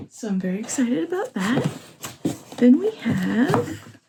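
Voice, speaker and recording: A young woman vlogging casually at home, her voice a little hoarse and sore, excitable and laughing often. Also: on the high side, takes it slowly, background noise. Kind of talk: casual vlog chat. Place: home, indoors.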